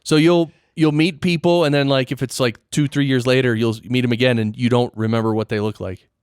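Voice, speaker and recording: Guy hosting a podcast, casual chat, middle pitched voice, super dry studio sound. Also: fairly even intonation, a little fast, smooth, dry recording. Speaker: podcast host.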